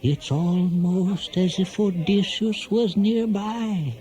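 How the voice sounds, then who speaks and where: A solemn prophet in a radio drama. His high voice drags out each phrase very slowly, heavy with portent and foreboding. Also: fairly even intonation, warm tone. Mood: ominous. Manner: portentous, drawn-out delivery. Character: solemn, foreboding soothsayer warning of disaster. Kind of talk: 1970s radio play acting.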